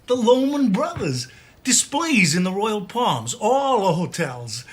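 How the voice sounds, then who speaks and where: An actor playing an eager, glib younger brother, a big dreamer with a very husky, persuasive voice, in a stage drama style. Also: male voice, on the high side, lively intonation, normal speed, fairly bright tone, heavily acted. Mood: eager pitching. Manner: boosterish, persuasive.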